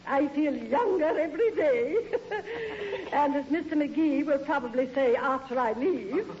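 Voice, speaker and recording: Effusive high-society matron in vintage radio comedy, genteel and fluttery, piping voice with extravagant swoops. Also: female voice, lively intonation, measured pace, a little mellow. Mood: giggly. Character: gushing, giggly high-society matron, good-natured. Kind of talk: 1940s radio comedy acting. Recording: dull, noisy old radio recording.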